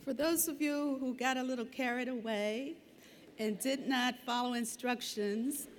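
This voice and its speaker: A woman's voice: on the high side, fairly even intonation, a little slow, a little hiss or hum. A woman emceeing a graduation ceremony, making dry teasing asides before introducing the speaker. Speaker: ceremony presenter. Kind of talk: ceremonial emcee with light humor. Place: large indoor arena.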